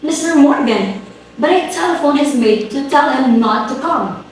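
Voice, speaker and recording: Woman acting a high-voiced, flustered society hostess, very echoey. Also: animated, normal speed. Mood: exasperated. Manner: theatrical, exclamatory, indignant. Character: flustered, imperious society hostess and mother, melodramatically exasperated. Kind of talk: amateur dramatic reading of a comic stage play. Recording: very echoey room, distant mic, faint noise.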